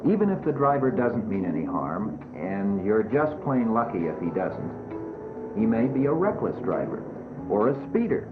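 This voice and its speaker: A man narrates an educational film, speaking straight to children in an admonishing way. His pitch moves a great deal, and the muffled vintage soundtrack carries clear music behind him. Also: big pitch swings, normal speed. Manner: admonishing, addressing children directly. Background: background music.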